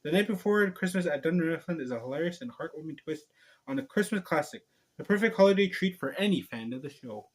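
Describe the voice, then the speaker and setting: Man, fairly high voice, playful, giggly, storybook read-aloud, casual host chat. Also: expressive, fast, husky, rough recording. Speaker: host reading a storybook to viewers.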